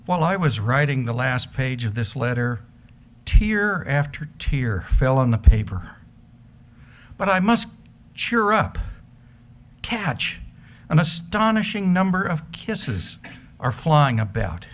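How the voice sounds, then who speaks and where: A man's voice: medium pitch, very animated, slow, pauses often, fairly bright tone. A man giving a theatrical reading of an affectionate letter. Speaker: reader in an amateur readers theater. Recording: background noise, live room ambience.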